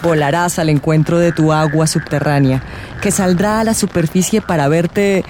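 A woman acting a mystical, teasing tarot reader in a radio drama, theatrical and suggestive, with dramatic pauses. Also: low-pitched, a little mellow, slight background noise, natural voice. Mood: mysterious, intent. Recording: music behind the voice. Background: music.

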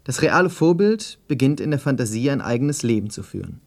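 A man working as interviewer and presenter, reading scripted commentary and questions in a formal, measured, literary manner. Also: mid-range voice, big pitch swings, vocal fry. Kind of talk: scripted radio feature narration and questions.

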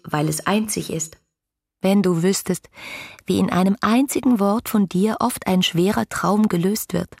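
A young woman who pours out her love in letters for a radio play. Her voice is husky with breath and often sinks toward a whisper. She is ardent, poetic and intimately confiding. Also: medium pitch, a little mellow. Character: devoted, yearning young woman writing love letters to an older poet. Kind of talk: literary radio drama, letters read as intimate monologue.

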